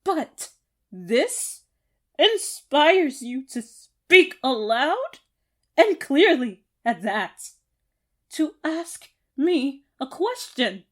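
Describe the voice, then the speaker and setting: Casting: actress as obsessive female captor for ASMR roleplay. High voice, slow theatrical delivery, gloating and unhinged. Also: very animated, a bit bright, full character voice. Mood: indignant, menacing. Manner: deliberate, theatrical, broken by giggles.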